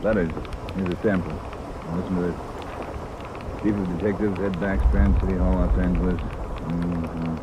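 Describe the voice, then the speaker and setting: A gruff chief of detectives played by a male actor in a vintage radio crime drama. He talks low, in clipped, impatient commands. Very loud rain and waves cover the old recording. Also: fairly even intonation, moderate pace, long pauses, highs cut off, very noisy, close to an everyday voice. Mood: fed up. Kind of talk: 1950s radio acting. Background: rain and ocean waves.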